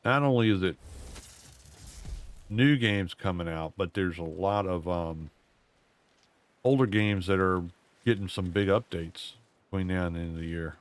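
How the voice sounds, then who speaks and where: Man, very low voice, gaming stream chatter, drawling and self-mocking, going hoarse. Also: a little slow, long pauses. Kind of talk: casual gameplay commentary.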